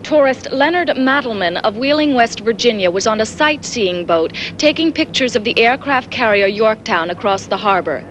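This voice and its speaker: An actress playing a composed female TV field reporter, delivering breaking news in measured, formal style. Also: on the high side, expressive, a bit bright, soft background music, poor audio. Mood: composed, serious. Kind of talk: 1980s TV news bulletin acting. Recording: faint noise, 1980s TV broadcast.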